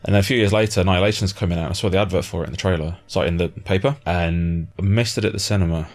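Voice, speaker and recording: A man's voice: sits low, racing. A British man in his thirties hosting a casual interview in an informal, conversational way. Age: 30s. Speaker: interviewer, host. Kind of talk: casual chat.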